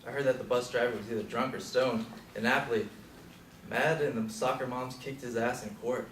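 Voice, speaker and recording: A man's voice: medium pitch, steady tone, normal speed, fairly bright tone, natural voice. Distant, echoey, wry aging Beatnik played by a man. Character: aging male Beat poet, wry, opinionated hipster. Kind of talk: stage play scene reading. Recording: echoey room from far off, faint noise.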